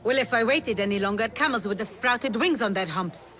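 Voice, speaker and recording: A determined young merchant's daughter in a game cutscene, played by a woman. She is brisk and wry, her voice a little high, with soft music behind. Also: close to an everyday voice. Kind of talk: video game cutscene acting.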